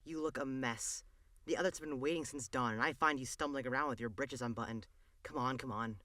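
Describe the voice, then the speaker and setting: Sardonic castle steward male actor, audio drama. Forceful, scolding, long-suffering, recorded dry. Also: mid-range voice, fairly quick, dry recording, natural voice. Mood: exasperated. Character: fussy, exasperated long-serving male royal steward.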